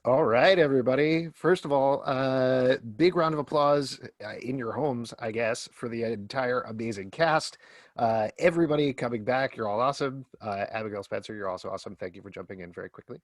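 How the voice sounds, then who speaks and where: Male moderator for an online event, informal and conversational, medium pitch, dry recording. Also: a little fast, no echo. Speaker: host and panel moderator. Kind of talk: casual event hosting. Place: video call.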